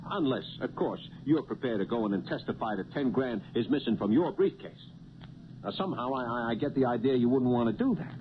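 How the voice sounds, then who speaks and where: A man's voice: medium pitch, expressive, fairly quick, lightly acted. An actor playing a male cab driver turned small-time blackmailer in a 1970s radio drama, with hesitant, folksy working-man phrasing. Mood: firm, insistent. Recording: muffled old radio broadcast, loud vehicle noise.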